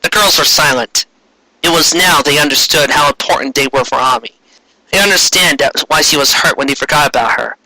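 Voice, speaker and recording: A narrator giving a dramatic comic reading of amateur fiction, halting and stumbling over words. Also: mid-range voice, bright, forceful tone, dry recording, poor audio. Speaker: fan fiction reader.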